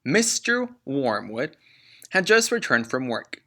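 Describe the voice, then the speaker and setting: A man reading a children's book aloud like a teacher, expressively and with deliberate emphasis on key words. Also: medium pitch, sing-song, bright, forceful tone.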